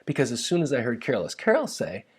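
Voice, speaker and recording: A man's voice: mid-range voice, wildly swooping pitch, fast talker, slightly rough. Wry man reading a humorous personal essay. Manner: wry, conversational, self-deprecating. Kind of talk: scripted humorous first-person narration.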